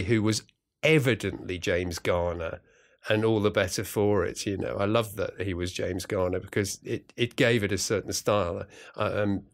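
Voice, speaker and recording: A middle-aged man talking as a guest, enthusiastic and playful, rambling with many restarts and fillers. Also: lowish voice. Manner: playful, jokey. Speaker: guest, radio drama writer and director. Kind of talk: casual conversation.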